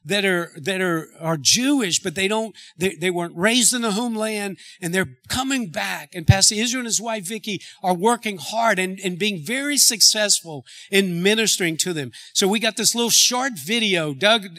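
Man pastor, earnest church introduction, high bright voice. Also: moderate pace, hardly any pauses. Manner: earnest, with restarts. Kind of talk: sermon introduction.

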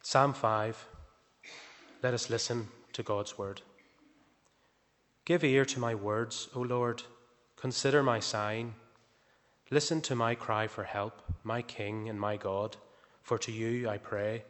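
A man reading a psalm aloud in a church service, slow and measured. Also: fairly low pitch, steady tone, a bit bright. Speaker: scripture reader. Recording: distant voice in echoing church.

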